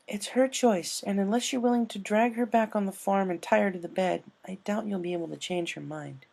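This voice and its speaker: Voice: mid-range voice, steady tone, a little fast, creaky, darkish tone, voice pushed up. A performer voicing an earnest, bookish young female pony in a cartoon fan story. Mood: firm, reasoning.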